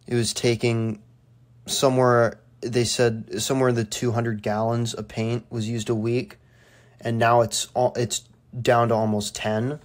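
Young man, flat conversational delivery. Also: mid-range voice, unhurried. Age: young adult. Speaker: student reporter interviewed on a podcast. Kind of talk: conversational explanatory report.

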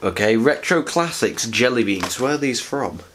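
A man's voice: mid-range voice, lively intonation, normal speed, fairly bright tone, slight background noise. A young man, sounding nasal from a cold, chatting casually and rambling through an unboxing in his living room at night, close to a camera. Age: young adult. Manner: chatty, rambling, self-mocking asides. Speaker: vlogger. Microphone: camera on a tripod, sitting close.

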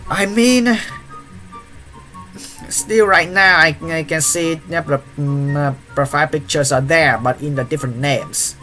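A man's voice: on the high side, fairly bright tone. A young man whose first language is Vietnamese, telling a story on his vlog with lots of fillers and restarts, recorded on a webcam in a small room. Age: young adult. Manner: hesitant, many fillers and restarts. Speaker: YouTuber.

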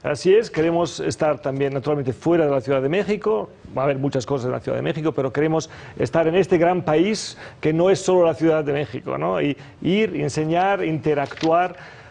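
A man in his fifties, a guest ambassador on a TV morning show, speaking in a measured, hesitant way with frequent filler sounds, recorded in a studio on a lapel mic. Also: on the high side, creaky, a little mellow. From Germany.

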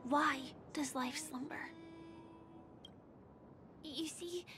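A woman voicing a gentle, shy young woman in a video game, soft and girlish, speaking tenderly and hesitantly. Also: sits high, extremely slow, a crowd in the background. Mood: wistful. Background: background music.

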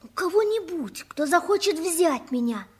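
A nine-year-old orphan boy speaks in a high, childlike voice. He is earnest and eager, halting as he searches for words, in the manner of an old radio play. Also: animated, darkish tone. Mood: pleading. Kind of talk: 1960s radio play acting.

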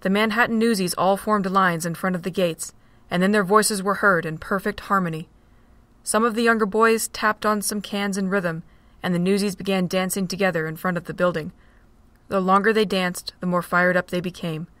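A woman narrating an amateur audio drama in a steady, plain reading. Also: medium pitch, normal speed, no room sound. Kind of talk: storybook narration.

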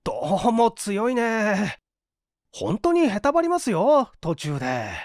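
A man's voice: sits high, big pitch swings, slow pace, darkish tone, no echo, studio quality, pitched above the performer's own voice, exaggerated voice. A man voicing a broke, hungry fellow in a storybook reading, coaxing and wry in half-polite talk. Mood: wry, resigned.

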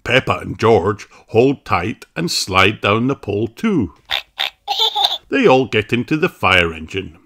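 A man reading a children's storybook aloud in an expressive style. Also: on the low side, slow pace, gravelly. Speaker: storybook reader.

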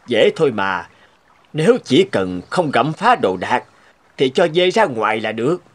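A man voicing a calm, wry old village sage in a folktale cartoon, slow and measured. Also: mid-range voice, a little hiss or hum. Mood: unbothered. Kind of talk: animated folktale cartoon dubbing.

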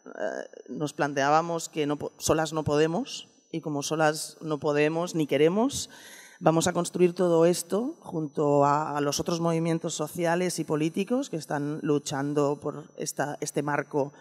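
A woman's voice: sits low, fairly even intonation, moderate pace, a little mellow. Earnest Spanish woman at a panel. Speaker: panel speaker. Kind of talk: prepared conference talk. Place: conference hall stage. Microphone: table microphone. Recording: echoey conference hall.

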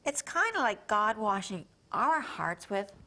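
Bright, bouncy bookworm puppet, voiced by a woman, curious and eager. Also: medium pitch, big pitch swings, a little slow, a little hiss or hum, heavily acted. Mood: thoughtful. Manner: wide-eyed, eager.

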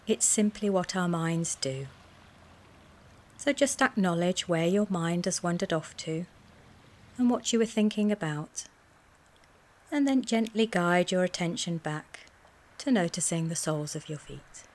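Low-pitched woman guiding a soothing meditation. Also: animated. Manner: gentle, soothing, measured with short phrases and pauses. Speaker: wellness coach guiding a relaxation session. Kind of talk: guided mindfulness meditation.

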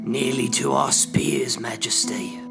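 A man voicing a wary, awed royal guard in an audio play, formal and deferential, under loud music. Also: fairly low pitch, big pitch swings, a little slow, in a whisper. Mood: fearful, deferential. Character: wary, awed royal sentry and spy servants of a king.

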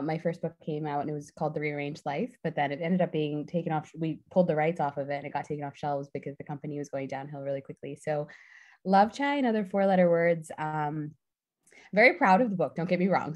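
A woman's voice: deep voice, darkish tone. A young woman raised in the US, an author speaking earnestly and a bit rambling on a video call panel. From United States. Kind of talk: casual panel chat.

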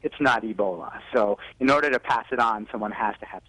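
Low-voiced man, doctor on the phone. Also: a little fast, bright, forceful tone, no room sound, rough recording. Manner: explanatory, with frequent "you know". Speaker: physician guest expert on a news segment. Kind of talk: live interview, explaining health advice. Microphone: telephone. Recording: telephone interview audio on TV broadcast.

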